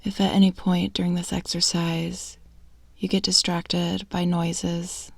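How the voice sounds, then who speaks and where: Hushed, low, even-toned woman guiding meditation. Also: no echo. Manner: hushed, breathy, unhurried delivery with long pauses. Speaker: guided imagery meditation guide. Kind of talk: slow, soothing guided meditation.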